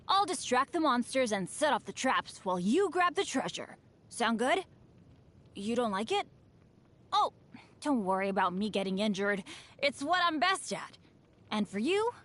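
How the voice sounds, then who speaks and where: An eager teenage boy adventurer from a video game. The voice is boyish and fairly high, with lively swings in pitch. He sounds earnest and chatty, upbeat even about his own bad luck. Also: measured pace, heavily acted. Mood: earnest, hopeful. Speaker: game character voice actor. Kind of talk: scripted game character voice lines.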